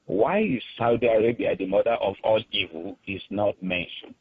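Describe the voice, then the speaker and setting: A man from Nigeria with a Nigerian accent, calling in to a radio show, earnest and halting with many fillers. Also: lowish voice, expressive, slightly rough, no room sound. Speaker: caller. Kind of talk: call-in radio talk. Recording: tinny phone line.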